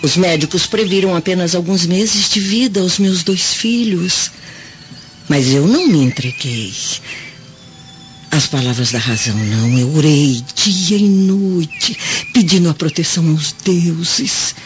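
A woman's voice: lowish voice, unhurried, over music, close to an everyday voice. A Brazilian woman playing a devout, protective Roman mother in a radio drama, speaking earnestly with solemn, dramatic emphasis. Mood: earnest, proud. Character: devout, protective Roman mother counselling her anxious friend. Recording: dull radio recording with clear noise.

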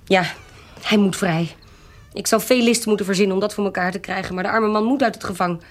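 An actress playing a resolute, scheming baroness in a period audio drama, speaking formally and deliberately. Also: female voice, medium pitch, steady tone, lightly acted. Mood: resolute, tender. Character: resolute, scheming self-styled baroness, protective aunt.

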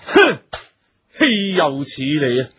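An actor playing an anxious, easily flustered wealthy husband in a Cantonese radio drama, agitated and given to sighing and stammering. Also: male voice, fairly high pitch, huge pitch swings, slow, a bit bright, husky, full character voice. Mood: indignant.

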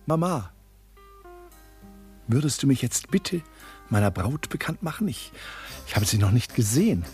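A man's voice: mid-range voice, sing-song, measured pace, darkish tone, faint music behind. Mousy young lord, totally mama's boy, shaky and wobbly, radio play. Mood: meek. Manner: timid, hesitant, mama's boy. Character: meek, awkward young aristocrat fiancé.

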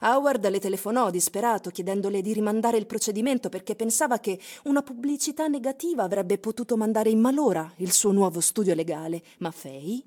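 A woman giving dramatic, literary radio narration with deliberate, suspenseful phrasing. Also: mid-range voice, mellow. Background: music.

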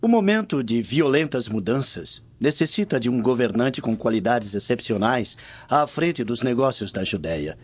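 A man's voice: mid-range voice, very animated, moderate pace, few pauses, breathy edge, no echo. A man playing a compassionate, critical Roman nobleman in a radio drama, speaking in a grave, declamatory manner. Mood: grave, indignant. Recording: muffled radio drama with background music.

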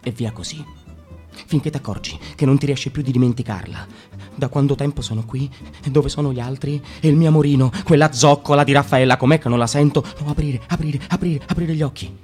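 A man reading a literary text as radio theatre, dramatic and shifting between calm and agitated. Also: mid-range voice, brisk, faint music behind.

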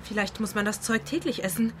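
An actress playing a curt, defensive office worker in a radio play, blunt and prickly. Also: female voice, medium pitch, brisk, noisy background, close to an everyday voice. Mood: matter-of-fact.

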